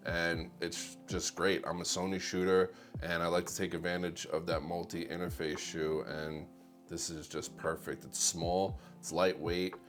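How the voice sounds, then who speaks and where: Male vlogger, low bassy voice, laid-back and upbeat, close wireless mic with faint music behind. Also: unhurried. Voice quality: deep bassy voice. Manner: relaxed, enthusiastic product talk. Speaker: videographer vlogger. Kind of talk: casual gear rundown. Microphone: wireless clip-on mic.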